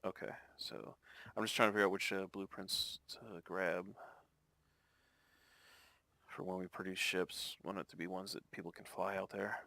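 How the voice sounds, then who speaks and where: Gravelly low-voiced man in his thirties. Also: level tone, pushed and bright. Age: 30s. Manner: measured, explains game tactics in detail. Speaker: gamer in voice chat. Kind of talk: casual voice chat.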